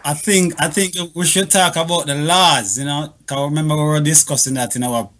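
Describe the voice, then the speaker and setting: A man's voice: a little high, fairly even intonation, fairly quick, fairly bright tone. A man on a talk show panel explaining things earnestly in fairly formal wording, often adding "you know".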